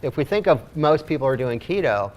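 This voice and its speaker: A man, the guest in a casual studio interview, explaining in a measured way with frequent restarts. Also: a little high, big pitch swings, low-quality recording. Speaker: guest nutrition coach.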